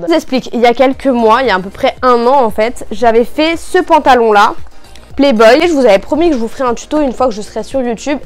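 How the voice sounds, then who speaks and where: A young woman chatting casually and playfully in a do-it-yourself vlog, joking about herself. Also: high-pitched. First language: bilingual French and Spanish. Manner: playful, joking, self-mocking. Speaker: vlogger. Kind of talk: casual DIY vlog chat. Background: music.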